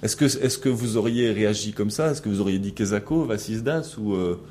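Brief: middle-aged male teacher, low mellow voice, halting delivery full of fillers, faint hum behind. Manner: hesitant, many fillers and restarts, asks the class questions. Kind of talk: classroom lecture analyzing a recorded interaction.

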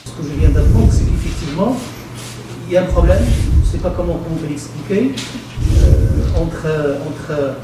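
A man asks a question from far off in a very echoey room, his halting, repeated phrasing nearly swallowed by loud background noise. His pitch sits a little high. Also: vocal fry. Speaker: audience member asking a question. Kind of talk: question after a talk.

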